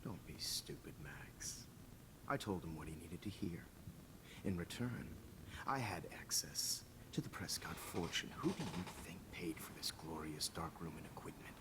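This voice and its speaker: An actor playing a smug, menacing teacher and photographer villain in a video game, with a calm, condescending, gloating delivery. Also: male voice, deep voice, moderate pace, whispered, close to an everyday voice, low-quality recording. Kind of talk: dramatic video game acting.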